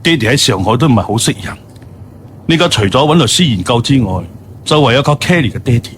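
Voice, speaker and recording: A man's voice: medium pitch, expressive, quick pace, fairly bright tone, slight background noise, lightly acted. An actor playing a worldly, doting middle-aged businessman father in a radio drama. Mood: grave, hopeful. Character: worldly, open-minded businessman father, doting on his daughter. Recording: studio radio drama with music bed.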